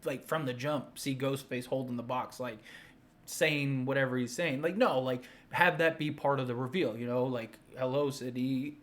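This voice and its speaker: A man's voice: mid-range voice, moderate pace, fairly bright tone. A man giving casual, unscripted opinion commentary as a fan host, enthusiastic and emphatic, rambling and circling back on his points.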